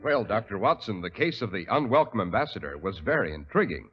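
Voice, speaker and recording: Male vintage radio announcer, low animated voice, muffled old recording. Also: a little fast, loud music behind the voice.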